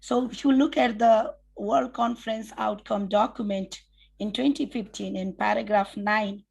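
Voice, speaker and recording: Gravelly-voiced Nepali woman moderating formally. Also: medium pitch, darkish tone. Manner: formal, measured, reads prepared remarks with occasional restarts. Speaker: session moderator.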